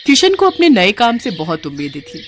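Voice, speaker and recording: A woman's voice: medium pitch, wildly swooping pitch, normal speed, slight background noise. A woman reading scripted narration for a moral story as its storyteller.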